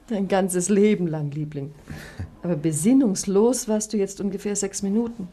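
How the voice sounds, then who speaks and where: Brief: female actress as a teasing, cool-headed heroine in an old radio thriller, with a mellow voice. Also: medium pitch, normal speed, lightly acted. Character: quick-witted young woman, cheeky under pressure. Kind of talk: 1960s German radio crime drama.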